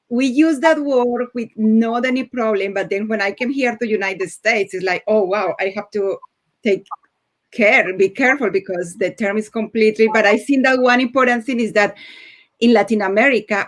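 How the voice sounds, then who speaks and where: A woman from Colombia, a native Spanish speaker with a Colombian accent, sharing personal experience on a panel in slightly halting English. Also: medium pitch. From Bogota, Colombia. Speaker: teacher on a discussion panel.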